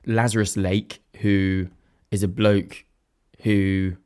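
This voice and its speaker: A young man hosting his own podcast, a little croaky, chatting casually and thinking aloud in a self-deprecating way. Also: fairly low pitch, flat delivery, slow pace, a little mellow. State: slightly croaky voice. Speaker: podcast host. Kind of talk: casual solo podcast chat.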